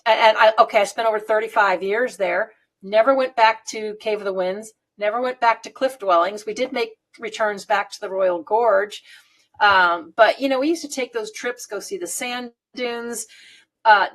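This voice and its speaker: A woman's voice: on the high side, normal speed, a bit bright. A woman in her fifties from the United States, chatting casually, rambling and laughing often. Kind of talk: casual chat.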